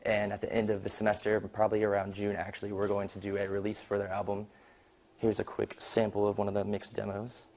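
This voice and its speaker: Fast-talking young man, lowish voice. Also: completely flat delivery, a little mellow. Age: young adult. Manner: casual, conversational. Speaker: university student presenter. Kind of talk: informal slide presentation.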